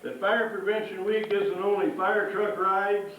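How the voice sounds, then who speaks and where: A man's voice: high-pitched, fairly even intonation, measured pace, lo-fi sound. A man giving an informal, instructive safety talk to children as a firefighter, often checking that they follow. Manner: informal, instructive, checks understanding with frequent questions. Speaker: firefighter hosting a fire-safety show for schoolchildren. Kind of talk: live educational presentation to children. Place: echoing indoor hall, live event. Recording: echoey hall from far off, faint noise.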